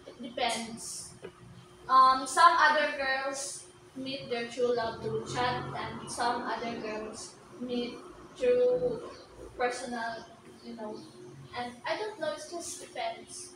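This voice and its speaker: A soft-spoken young woman with a Filipino accent gives short, shy answers in a slow, unhurried way. Her voice sits fairly high. She is heard from off the mic in a very echoey room, with a fan humming behind her. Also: fairly even intonation, distant mic, noisy background. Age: 20s. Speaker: interview guest.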